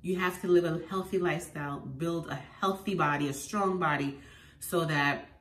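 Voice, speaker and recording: A woman with a head cold, sounding congested, sharing home remedy tips in an animated, emphatic vlog at home. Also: deep voice, normal speed. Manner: animated and emphatic, repeating phrases. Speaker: lifestyle vlogger. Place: at home indoors. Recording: echoey room, faint noise.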